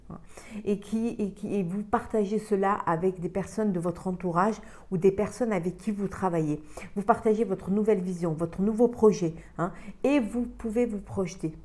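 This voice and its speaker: A woman's voice: medium pitch, warm tone. A woman with a cold giving a weekly card reading for the water signs, talking in a hesitant way with many fillers and repeated words. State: has a cold. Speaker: tarot reader.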